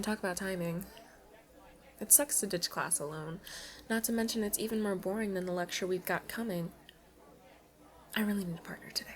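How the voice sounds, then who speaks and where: A woman's voice: medium pitch, lightly acted. A woman playing a playful, pleading young alien princess at college in an ASMR roleplay, chatting and coaxing the listener directly. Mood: excited, coaxing.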